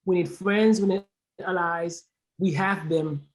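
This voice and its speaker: A woman's voice: fairly low pitch, steady tone, unhurried, darkish tone. A woman from Haiti speaking as a panel guest, impassioned and emphatic, repeating phrases for stress. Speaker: aid organization founder, panel guest.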